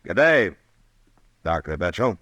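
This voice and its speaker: Really low voiced western marshal, calm, few words, steady, old radio show style. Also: male voice, full character voice. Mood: easygoing. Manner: laconic, unhurried, plain-spoken. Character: calm, laconic frontier marshal, steady and kindly.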